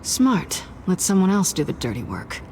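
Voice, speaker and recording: Wry woman with lively intonation. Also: lowish voice, normal speed. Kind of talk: video game acting. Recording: noticeable background noise.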